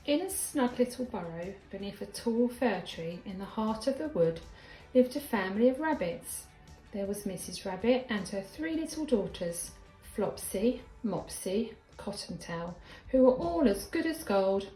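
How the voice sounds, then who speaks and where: A woman, sounding like a schoolteacher, reads a children's story expressively, with deliberate, dramatic emphasis. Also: medium pitch, lively intonation, warm tone. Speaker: primary school teacher reading a story. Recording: echoey room with background music.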